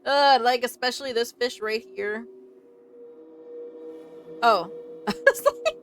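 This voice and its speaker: High-voiced, expressive woman streamer. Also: slow pace, soft background music. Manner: expressive, exclamatory, chatty. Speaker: game streamer. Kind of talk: casual reactive let's play commentary.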